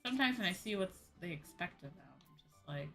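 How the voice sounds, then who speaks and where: Bright-voiced woman chatting on stream. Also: on the low side, lively intonation, brisk, soft background music. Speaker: VTuber streamer. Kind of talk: casual livestream chat over a game.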